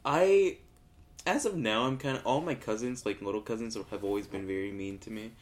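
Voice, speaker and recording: A man's voice: fairly low pitch, brisk. A man hosting a podcast in casual chat, upbeat and laughing easily. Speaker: podcast host.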